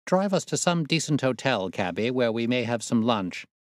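A self-assured gentleman detective, acted by a male narrator with a mid-range voice. He is observant and brisk, speaking in precise, courteous, formal sentences, recorded close and dry for an audiobook. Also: mid-range voice, very animated, no room sound, voice pushed up, close to an everyday voice. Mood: brisk, cheerful.

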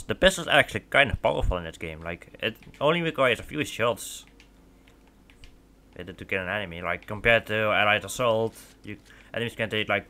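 A man's voice: on the low side, sharp tone. A young Dutch man, speaking English as a second language, casually commenting while he plays a shooter, rambling with lots of fillers and restarts. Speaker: gaming channel host. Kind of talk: casual let's play commentary.

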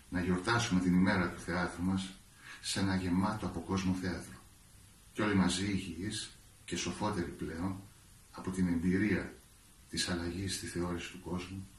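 A man in his fifties delivering a formal, scripted greeting in a living room, away from the phone. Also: low voice. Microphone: phone camera a few metres away. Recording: echoey room, distant mic.

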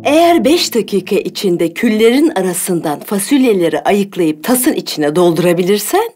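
A woman playing a haughty, cruel stepmother with a scornful, drawn-out delivery in a fairy-tale cartoon. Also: medium pitch, sing-song, over music, natural voice. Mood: haughty, taunting.

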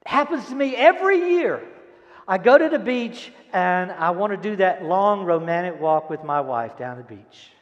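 An older man preaches a sermon and reads scripture with dramatic, emphatic delivery and deliberate pauses. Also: high-pitched, animated, lo-fi sound. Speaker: pastor. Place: large church auditorium stage, indoors. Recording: echoey hall, long reverb.

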